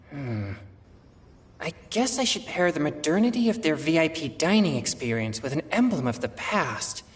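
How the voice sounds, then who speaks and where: A woman's voice: low voice, breathy edge, full character voice. A woman voicing an earnest, modest teenage boy in a video game, who tends to think aloud.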